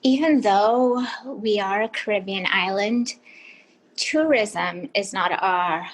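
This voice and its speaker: A woman's voice: mid-range voice, slow, poor audio. A woman from Trinidad and Tobago with a light Trinidadian accent, a podcast guest who answers questions thoughtfully and with some hesitation. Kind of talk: casual interview answers.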